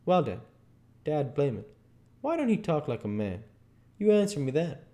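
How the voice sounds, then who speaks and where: A man's voice: a little high, very animated, normal speed, dark tone, pitched above the performer's own voice. A man voicing a stubborn, uneducated Southern man in an audiobook, with a folksy dialect and insistent reasoning. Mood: stubborn, argumentative. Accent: American South. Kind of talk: audiobook character dialogue.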